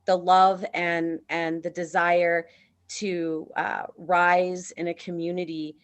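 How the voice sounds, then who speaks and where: Female panelist, lower voice, gentle and hesitant on a call. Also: little pitch movement, slow pace, clean tone. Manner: soft-spoken, hesitant, trailing off. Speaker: conference panelist. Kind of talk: casual panel discussion. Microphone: video call.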